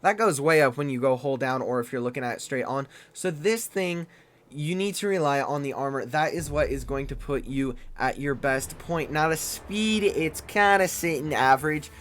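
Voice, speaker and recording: A man hosting a gaming channel, reviewing a tank game in loose, fast, chatty commentary. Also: on the high side, lively intonation, fairly bright tone.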